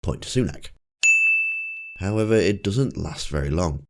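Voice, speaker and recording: A man with a croaky, cold-roughened voice, giving casual commentary with dry, wry asides. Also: sits low, animated, a little slow, heavy background noise. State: hoarse from a cold. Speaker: political commentator. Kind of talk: casual commentary over clips.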